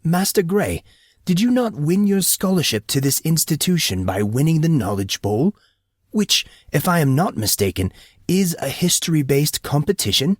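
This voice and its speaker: A man voicing a courtly, unflappable male attendant in an audiobook story, formal, a little pompous and gently teasing. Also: on the high side, big pitch swings, normal speed, dry recording, studio quality, pitched above the performer's own voice. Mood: dryly teasing. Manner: formal, pompous, precise wording.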